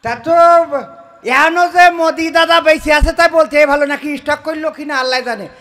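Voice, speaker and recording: A man's voice: extremely high pitch, normal speed, fairly bright tone. A man performing a comic skit in a colloquial, indignant, scolding manner. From Bangladesh. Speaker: comedian. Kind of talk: comic skit with mock phone call.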